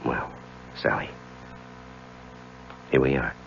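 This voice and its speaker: An actor playing a haunted, ambitious middle-aged lawyer with a halting, brooding manner in a radio drama. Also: male voice, a bass voice, fairly even intonation, creaky, background noise, heavily acted. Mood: guarded. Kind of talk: 1970s radio drama acting and narration. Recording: dull old radio recording, music behind.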